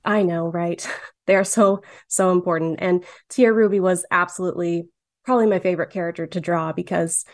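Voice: medium pitch, steady tone, brisk, no echo, clean studio sound. A cartoonist in their late twenties from Michigan, answering interview questions in a chatty way with lots of fillers. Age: late 20s. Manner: chatty, many fillers, laughs often. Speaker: guest cartoonist.

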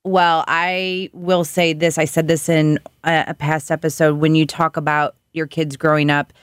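A woman hosts a podcast in an easy conversational style. Her voice is notably low for a woman. She speaks casually and empathetically, as one parent to another. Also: little pitch movement, normal speed, clean tone, no echo, clean studio sound. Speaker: podcast host, parent of young children.

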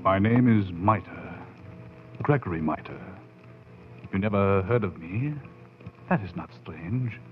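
An actor playing a proud, bitter European mad scientist professor in a theatrical 1940s radio horror monologue. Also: male voice, low voice, expressive, slow pace, long pauses, narrow, tinny audio, faint music behind. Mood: brooding. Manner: theatrical, grandiose, swinging in intensity. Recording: thin band-limited 1940s radio recording.

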